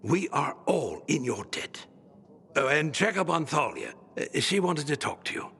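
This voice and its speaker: A man's voice: a little high, sing-song, unhurried, vocal fry, sharp tone, husky, in a large hall, heavily acted. An actor playing a grandiose, theatrical old male scholar who speaks in pompous, flowery phrases. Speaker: book-collecting patron.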